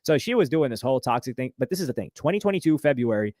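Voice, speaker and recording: Man, reads legal text quickly, casual commentary, mid pitch, dry close sound. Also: sing-song, a little mellow, no echo. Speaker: streamer reacting to court filing. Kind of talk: reading aloud and commenting.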